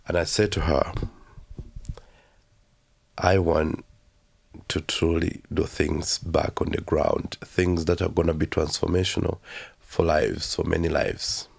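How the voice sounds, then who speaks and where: A young Ugandan-accented man with a notably low, deep voice speaks as an interview guest. He tells his story slowly and reflectively, pausing often and leaning on fillers as he searches for words. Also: vocal fry. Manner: halting, reflective, heavy with fillers.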